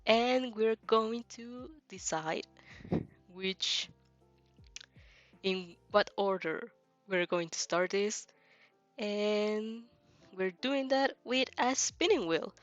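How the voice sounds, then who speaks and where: A woman's voice: medium pitch, drawn-out words, pauses often, no room sound, dull audio. Leisurely female streamer over background music. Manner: hesitant, searching for words, giggly. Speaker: streamer hosting a fanfic reaction channel. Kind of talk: casual livestream chat. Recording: music playing behind the voice.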